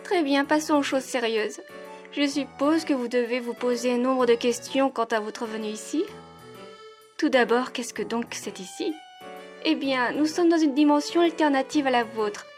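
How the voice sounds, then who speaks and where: Casting: actress playing effusive aristocratic lady of a steampunk manor, high refined voice, faint music underneath. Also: lightly acted. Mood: explanatory, reassuring. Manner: refined, polite, airy, drawn-out. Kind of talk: French audio roleplay acting.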